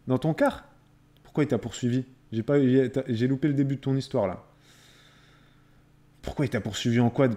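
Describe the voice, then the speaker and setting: A man's voice: mid-range voice, warm tone. A man chatting casually as a live streamer, replying to viewers in a conversational way and repeating phrases for emphasis.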